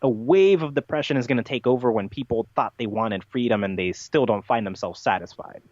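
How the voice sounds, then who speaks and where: A man's voice: mid-range voice, fairly quick, darkish tone, no echo. Male interviewee, mid-range voice, emphatic long-winded explainer, close dry sound that is a bit muffled. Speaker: podcast guest. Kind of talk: unscripted interview answers, explanatory monologue.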